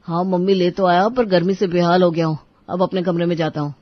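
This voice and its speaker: A woman voicing a lazy, irritable father who grumbles and complains in an animated moral story. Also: lowish voice, a little fast, dry recording, lo-fi sound, close to an everyday voice. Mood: weary, grumbling. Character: lazy, grumbling grown man, father of two boys.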